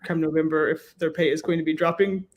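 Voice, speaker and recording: A woman with an American accent, a professor and researcher, giving measured, explanatory interview answers with emphatic lists. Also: low voice, fairly even intonation.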